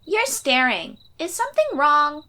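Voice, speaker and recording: A woman's voice: sits high, very animated, a little slow, sharp tone, full character voice. A woman voicing a confident, chatty thirteen-year-old sea-dweller girl warrior in a fantasy audio roleplay, perky and informal. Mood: cheerful, eager.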